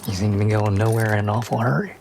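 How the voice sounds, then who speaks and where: A man's voice: fairly low pitch, fairly even intonation, racing, gravelly, darkish tone, slight background noise. A man playing a folksy, skeptical traveling companion. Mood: wary. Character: Harlan, skeptical gruff male traveling companion.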